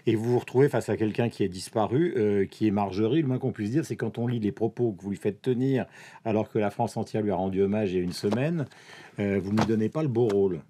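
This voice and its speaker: Un homme journaliste qui mène une interview politique, pose des questions pressantes et coupe souvent la parole. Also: male voice, lowish voice, quick pace, warm tone.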